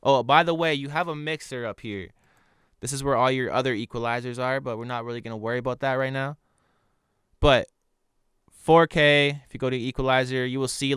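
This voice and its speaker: A man with a nasal voice who hosts a casual software tutorial in a relaxed, loose way, with fillers and offhand asides. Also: medium pitch, clear, resonant tone, dry recording, clean studio sound. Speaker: audio tutorial host. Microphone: studio condenser microphone.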